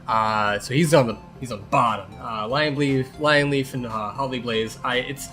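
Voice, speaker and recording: A man's voice: mid-range voice, moderate pace, fairly bright tone, faint music behind, close to an everyday voice. A man voicing a snarky, exasperated blind medicine cat in an improvised fan Q&A, rambling sarcastically and swearing. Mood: dry, opinionated. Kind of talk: improvised character Q&A in casual chat.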